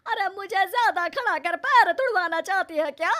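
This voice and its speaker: A woman's voice: very high-pitched, normal speed, pushed and bright, voice pushed up. A woman voicing a cruel, bossy older mother-in-law in a cartoon story, nagging and threatening harshly. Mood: scolding, threatening.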